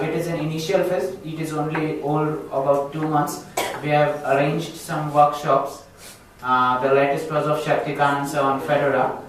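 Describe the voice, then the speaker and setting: A man's voice: on the high side, slight background noise. A man with an Indian accent giving an informal self-introduction to a group, speaking in formal, earnest phrases. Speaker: student attendee speaking at a community meetup. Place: echoey indoor event room. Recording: echoey room, distant microphone.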